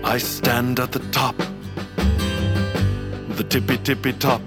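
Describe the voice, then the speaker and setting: An actor playing a grandiose, scheming villain who declaims pompously in a theatrical audio drama. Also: male voice, mid-range voice, steady tone, slow, over loud music, natural voice. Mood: triumphant singing. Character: grandiose scheming male villain, gloating tower owner. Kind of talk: theatrical audio drama acting with musical numbers.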